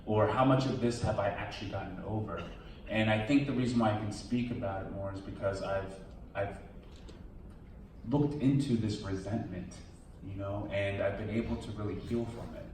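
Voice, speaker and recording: A man with a lowish voice talks candidly and casually at a premiere Q&A, often quoting his own thoughts. He is heard from some distance in a very echoey theater with a faint hum of the room behind him. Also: fairly even intonation, moderate pace, a little mellow. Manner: candid, casual, quotes himself. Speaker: documentary subject. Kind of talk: premiere Q&A answers. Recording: echoey hall, from far off.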